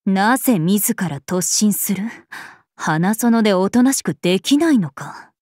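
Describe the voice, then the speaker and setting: Slow, imperious princess spirit. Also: female voice, mid-range voice, expressive, a little mellow, no room sound, clean studio sound. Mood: aloof, mildly chiding. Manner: imperious, archaic, composed, aloof. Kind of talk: Japanese mobile game character voice lines.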